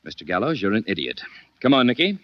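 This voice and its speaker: An actor playing a suave, shrewd detective with an assured, courteous manner in 1940s radio style. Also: male voice, fairly low pitch, very animated. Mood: curt. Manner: assured, measured, pointed. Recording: dull vintage 1940s radio recording.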